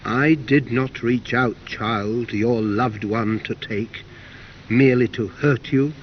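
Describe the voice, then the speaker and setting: An older man acts a grave God of devotional verse, speaking very deliberately. The tone is stern, sorrowful and forgiving, with weighty questions. The tape sounds dull and faintly hissy. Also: medium pitch, pitched below the performer's own voice, lightly acted. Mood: grave reproach. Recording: dull hissy old cassette recording.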